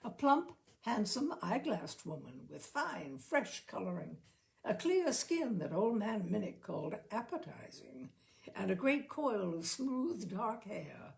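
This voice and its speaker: Expressive woman reading a story, lightly creaky voice. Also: medium pitch, slow pace. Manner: acts out characters' dialogue. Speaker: story narrator.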